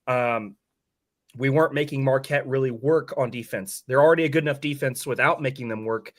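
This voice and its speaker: Male sports podcast host, hoarse, medium pitch, casual and candid game-breakdown talk. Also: brisk.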